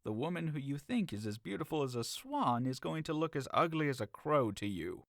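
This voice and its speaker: A man playing a teasing, persuasive young friend with an animated manner in an audio drama. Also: a little high, a little fast, creaky, no room sound, studio quality. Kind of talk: audio drama acting of modernized Shakespeare.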